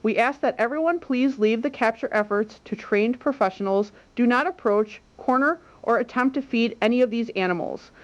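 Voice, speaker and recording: A woman reading a formal scripted public safety bulletin as an alert announcer, measured and instructive. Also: a little high, lo-fi sound.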